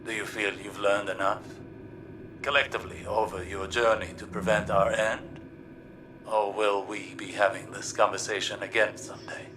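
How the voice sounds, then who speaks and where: A man playing a scholarly, courteous strategist in a video game, speaking formally and thoughtfully in deliberate phrases. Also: on the low side, little pitch movement, background noise, natural voice. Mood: gently probing. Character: scholarly male strategist, grave, courteous, questioning. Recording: music behind the voice.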